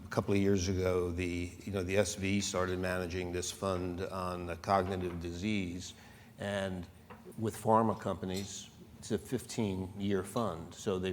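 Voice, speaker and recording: A man who is a venture investor, speaking on a conference panel in a measured, steady way. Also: low-pitched. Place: conference hall. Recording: reverberant hall with faint noise.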